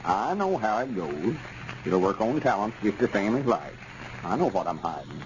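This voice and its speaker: An actor playing a pompous, folksy storekeeper with a rural Arkansas accent in a 1930s radio comedy. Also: male voice, on the low side, big pitch swings, normal speed. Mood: boastful. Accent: Southern US, rural Arkansas. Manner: folksy, pompous, self-satisfied. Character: boastful, self-important rural storekeeper with lawyer ambitions. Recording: scratchy, muffled 1930s radio recording.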